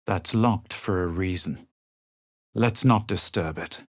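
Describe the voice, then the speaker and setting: An actor voicing a self-assured, haughty adventurer and spellcaster in a fantasy video game, with a husky voice and measured, theatrical delivery. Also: male voice, deep voice, dry recording, studio quality. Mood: grave, measured. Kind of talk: fantasy video game voice lines, combat barks and narrated recollections.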